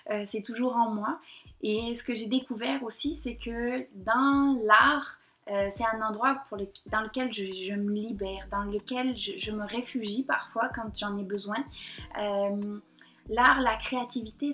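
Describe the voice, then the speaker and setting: A woman in her thirties from the south of France, her accent blended with Québécois, talking straight to her computer in a personal vlog. She speaks hesitantly, with frequent pauses and fillers. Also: a little high, fairly bright tone. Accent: southern French mixed with Québécois. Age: 30s. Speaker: painter and blogger. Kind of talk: personal confiding vlog to camera. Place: at home in front of her computer. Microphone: computer or laptop mic.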